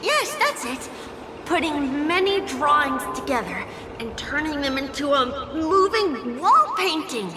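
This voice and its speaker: An innocent little girl in a video game, cheerful and sweetly chatty. Her high voice swings up and down and takes its time over each phrase. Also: sing-song, unhurried, very noisy. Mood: innocent, eager. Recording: long hall reverb.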